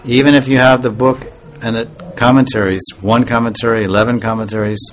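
A man giving an informal devotional talk as a spiritual teacher, soft-spoken and rambling, with pauses and restarts. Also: medium pitch, steady tone, slight background noise.

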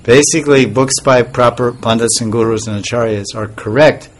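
A man giving an informal devotional talk as a spiritual teacher, soft-spoken and rambling, with pauses and restarts. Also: medium pitch.

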